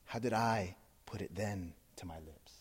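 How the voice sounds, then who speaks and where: Man reading poems, then chatting with a crowd. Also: sits low. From New Jersey, USA. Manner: measured, intense recitation, then chatty and laughing with listeners. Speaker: poet. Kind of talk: poetry reading and audience talk. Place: live event in front of an audience.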